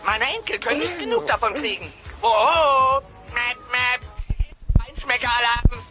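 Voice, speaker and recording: An actor playing a hammy, folksy dinosaur butcher who gushes through a parody TV commercial. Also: male voice, very high voice, a little slow. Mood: gushing sales pitch. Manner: hard-selling, gushing. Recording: muffled cassette transfer with loud music.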